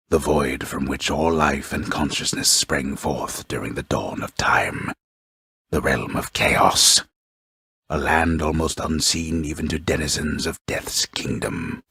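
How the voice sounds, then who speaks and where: Very deep, husky grave storyteller. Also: male voice, bright, forceful tone, no room sound, studio quality, heavily acted. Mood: ominous, grave. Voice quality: gravelly, breathy husk. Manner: grave, theatrical, deliberate literary phrasing. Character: solemn old-fashioned omniscient storyteller with wry gravity. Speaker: video game narrator. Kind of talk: scripted dramatic storytelling narration.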